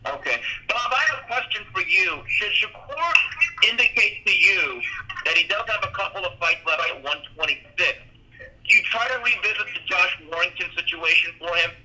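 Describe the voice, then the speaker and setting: A man with a high, very bright voice speaks as a reporter on a conference call. The phone line carries faint noise. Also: lively intonation, moderate pace. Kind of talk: press questioning on a conference call.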